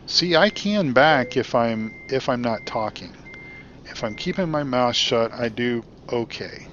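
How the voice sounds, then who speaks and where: A man hosting his gaming channel, chatting casually while he plays, rambling with many ums and uhs, his voice getting hoarse. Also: medium pitch, animated, measured pace, a bit bright, highs cut off, slight background noise. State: losing his voice. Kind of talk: casual commentary while playing. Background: truck engine and road sounds.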